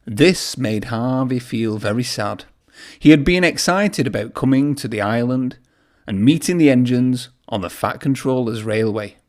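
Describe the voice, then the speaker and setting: A man's voice: medium pitch, measured pace. A man reading a children's story aloud in a narrating style. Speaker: storybook reader.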